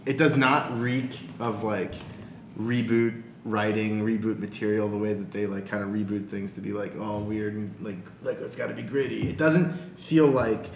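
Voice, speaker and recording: A man's voice: lowish voice, fairly even intonation, moderate pace. A man chatting casually as a podcast panelist. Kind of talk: casual chat. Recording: echoey room, faint noise.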